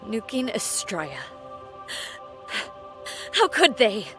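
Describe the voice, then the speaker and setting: A woman's voice: a little high, huge pitch swings, drawn-out words, heavily acted. An actress playing a tough, defiant, hot-tempered military agent in a video game, blunt and sardonic in her delivery. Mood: shocked, outraged. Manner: blunt, challenging, dramatic. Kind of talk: video game cutscene acting. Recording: music under the voice.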